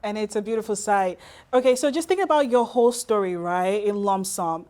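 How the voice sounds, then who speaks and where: Woman interview host, chatty and gushing, normal mid pitch. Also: a little fast, a little mellow. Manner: effusive, admiring. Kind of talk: conversational interview hosting.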